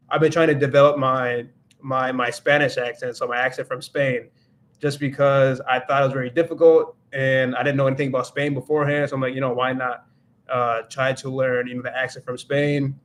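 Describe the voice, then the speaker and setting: Young man, livestream host, casual rambling chat, self-correcting, mid-pitched voice. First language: English. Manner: rambling, self-correcting, many restarts and fillers. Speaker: livestream host answering viewer questions.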